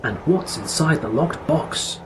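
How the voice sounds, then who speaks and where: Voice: mid-range voice, lively intonation, a little slow, heavy background noise. A narrator telling a children's fairy tale in a dramatic, wondering storytelling manner. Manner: wondering, suspenseful questioning.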